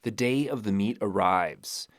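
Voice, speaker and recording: A man's voice: lowish voice, unhurried, dry recording, clean studio sound. A man hosting a history podcast, reading scripted narration with dramatic, playful storytelling. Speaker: podcast host.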